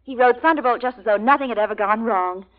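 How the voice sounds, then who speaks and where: An actress playing a brisk, loyal assistant in 1940s radio drama style, coaxing and chiding with urgency. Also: female voice, a little high, lightly acted. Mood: gentle, teasing. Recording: muffled old radio recording, crowd behind.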